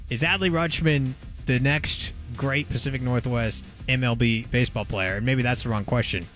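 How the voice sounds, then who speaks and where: A man's voice: medium pitch, moderate pace, a bit bright, faint music behind. A man co-hosting a casual sports radio show, setting up topics and questions. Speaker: sports radio guest host. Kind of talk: casual sports talk, posing topics to a co-host.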